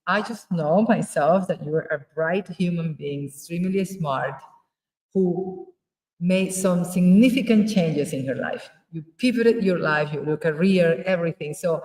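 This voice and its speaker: A woman's voice: on the low side, moderate pace, a little mellow, echoey room, far from the mic, poor audio. A woman from Ecuador hosting a podcast, prompting her guest with encouraging questions and laughing along. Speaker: podcast host. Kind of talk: casual interview chat.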